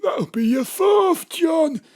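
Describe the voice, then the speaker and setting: A man's voice: very high voice, expressive, slow pace, full character voice. A man playing an odd, obliging pub landlord and amateur brewer in a comic audio drama.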